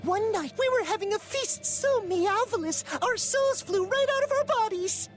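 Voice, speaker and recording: A tiny, extremely high-voiced cat girl creature, chatters in a cartoonish game style. She is cutesy and perky, wheedling for help. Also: normal speed, faint music behind. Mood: perky, chatty. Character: small cute female cat spirit creature, chatty, pleading. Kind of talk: cartoonish video game voice acting.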